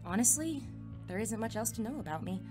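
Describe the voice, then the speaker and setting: A young woman acting a wry, anxious teenage high school student in a scripted audio drama, talking conversationally with hesitant asides. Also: lively intonation, brisk, music in the background, lightly acted. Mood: determined, wry. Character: teenage girl, sarcastic, anxious, determined high school junior.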